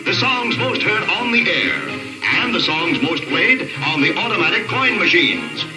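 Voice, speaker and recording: Male 1940s radio announcer, very animated and high-pitched, echoing broadcast recording. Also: a little slow. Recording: roomy old radio broadcast over loud music. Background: loud music.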